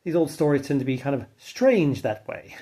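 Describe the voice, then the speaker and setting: A man's voice: medium pitch, sing-song, normal speed, dark tone. A man narrating an old legend in a casual, offhand way, wry and a bit profane, with fillers and loose restarts. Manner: wry and offhand, with mild swearing. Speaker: narrator and channel host. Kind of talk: casual spoken folklore narration.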